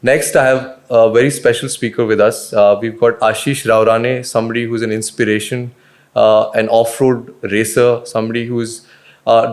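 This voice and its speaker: A man with an Indian accent hosting a podcast interview, speaking politely and conversationally with some hesitant repetition. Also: medium pitch. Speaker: podcast host.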